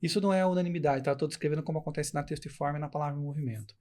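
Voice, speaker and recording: Fast-talking man with interior São Paulo accent. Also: fairly high pitch, warm tone. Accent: interior São Paulo Brazilian. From interior of São Paulo state, Brazil. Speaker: podcast guest, educational materials producer. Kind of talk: casual podcast discussion, explanatory.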